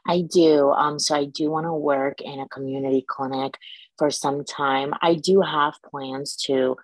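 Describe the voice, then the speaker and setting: A young woman from Iraq, a dental student, answering interview questions in a measured, thoughtful way with many pauses. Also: low-pitched, fairly even intonation, dry recording, rough recording.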